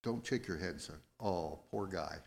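An American man in his seventies preaching a sermon, going verse by verse in a halting, deliberate way and repeating phrases for emphasis. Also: low-pitched, gravelly, a little hiss or hum. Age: 70s. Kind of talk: sermon, verse-by-verse Bible exposition.

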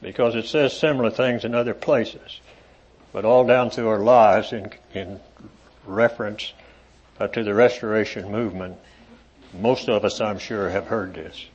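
A man preaching to a congregation in an evening meeting, reading scripture aloud with rising emphasis and talking with halting fillers in between. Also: fairly low pitch, fairly even intonation, a little mellow, breathy edge. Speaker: lay preacher. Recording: dull lo-fi recording.

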